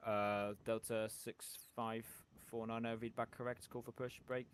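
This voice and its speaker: A man working as an air traffic controller in a flight simulation game, speaking radio phraseology in a hesitant, halting way. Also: mid-range voice, level tone. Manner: hesitant, halting delivery with many pauses.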